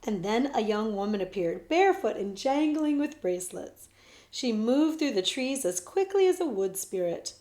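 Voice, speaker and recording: A woman librarian reading a children's book aloud with expressive storytelling, dropping to whispers at times. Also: on the high side, moderate pace, reverberant. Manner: expressive storytelling, shifts between whispers and loud voices.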